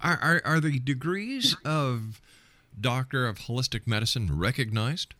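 A man's voice: medium pitch, animated, measured pace, pushed and bright, dry recording. A man hosting a radio interview, asking skeptical, probing questions and often repeating his opening words. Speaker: radio show host, interviewer.

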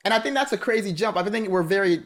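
A man hosting a casual interview show, easygoing and quick to react. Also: high voice, lively intonation, a bit bright. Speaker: podcast host.

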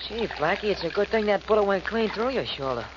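An actor playing a devoted, folksy, hesitant sidekick in a 1940s radio detective drama. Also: male voice, sits high, animated, quick pace. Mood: concerned. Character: devoted, simple, fussy male sidekick to a detective. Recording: noisy, muffled vintage radio recording.

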